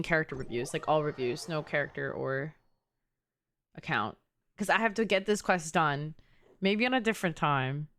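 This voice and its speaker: A woman's voice: lowish voice, fairly bright tone, slight background noise. A young adult woman chatting casually with her viewers while livestreaming a game, bantering and teasing. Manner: bantering, teasing, talks to chat. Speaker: game streamer. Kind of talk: casual livestream chat and gameplay commentary.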